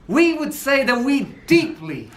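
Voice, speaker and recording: A man's voice: extremely high pitch, big pitch swings, a little slow, background noise, full character voice. A man voicing a slippery male defense lawyer who speaks formally and craftily, in an amateur play reading. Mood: crafty. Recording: echoey room with crowd.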